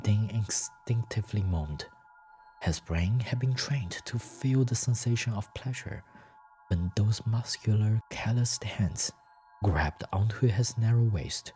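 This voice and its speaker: A man's voice: fairly low pitch, slow, mellow, soft background music. A man reading scripted fiction as a story narrator.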